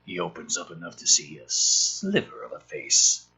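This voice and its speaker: A man's voice: low-pitched, huge pitch swings, normal speed, pushed and bright, slightly rough, poor audio. A man sarcastically reading through a film script and commenting on it in a profane, mocking, theatrical way. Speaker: host of a script review show.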